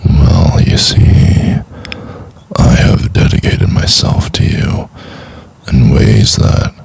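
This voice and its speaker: A man playing an obsessive, possessive stalker lover in a boyfriend ASMR roleplay, murmuring in a breathy, gravelly whisper with coaxing, condescending tenderness. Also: extremely low pitch, animated, darkish tone, full character voice. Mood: possessive, soothing. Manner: slow, deliberate, coaxing and condescending.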